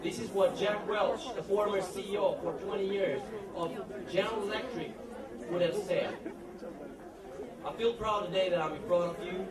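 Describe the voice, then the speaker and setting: Young man with a slight Texas accent, speaking in a hall. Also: high-pitched. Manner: relaxed and proud, reading pages, some restarts. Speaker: alumnus giving a speech. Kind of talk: prepared speech at a party. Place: large hall at a party. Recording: echoey hall, mic far off, loud crowd.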